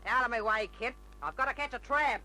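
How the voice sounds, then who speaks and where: An actress playing a tough, bullying Sydney woman with an Australian accent in an old radio drama, loud, goading and sarcastic. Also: on the high side, brisk, faint music behind. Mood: scornful, triumphant. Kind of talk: old-time radio detective drama acting.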